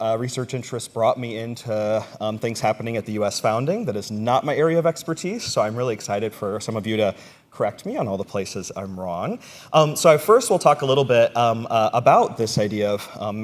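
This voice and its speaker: A man with a stuffy, congested voice from a cold, giving an academic conference talk with frequent ums and uhs. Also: medium pitch. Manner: halting, many ums and uhs. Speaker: conference presenter, ethics instructor. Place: conference room. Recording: long reverb of a large hall.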